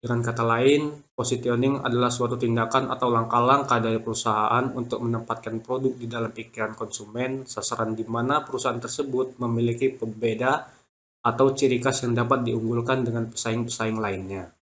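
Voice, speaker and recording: Young male, mid-pitched voice, student presenter reading from prepared text with stumbles and restarts. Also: fairly even intonation, fairly quick, fairly bright tone. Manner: stumbles over words and restarts them.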